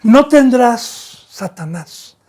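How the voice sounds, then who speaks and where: A man from Mexico preaching a fervent sermon as pastor, swinging between loud and soft and sometimes stumbling over his words. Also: very high voice, expressive, extremely slow.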